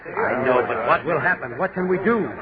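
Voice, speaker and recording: Excitable male academic, acted by a man, urgent, very animated intonation, vintage radio play with narrow-band sound. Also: a little high, moderate pace, crowd noise, natural voice. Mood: panicked, indignant. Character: alarmed, agitated male academic demanding answers. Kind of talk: 1940s radio acting. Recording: noisy, tinny 1940s radio recording.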